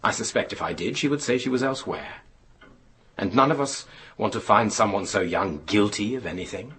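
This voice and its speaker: An actor playing a formal, probing and regretful inquiry chairman in a radio drama, speaking deliberately in official phrasing. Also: lowish voice, fairly bright tone, natural voice. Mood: regretful, grave.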